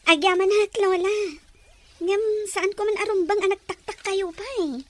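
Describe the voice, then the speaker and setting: A woman's voice: very high voice, fairly even intonation, normal speed, a little hiss or hum. A woman acting a dutiful young graduate, hurt yet kind, in an audio drama with an Ilocano accent. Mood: happy, grateful.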